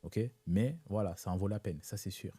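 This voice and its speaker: A young man with a low, mellow voice talks through his story in a steady, measured way, often checking in with "ok?" as he goes. French is not his first language. The sound is close and dry. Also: breathy edge, no room sound. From born in Italy, raised in Africa. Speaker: guest entrepreneur. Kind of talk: casual interview talk.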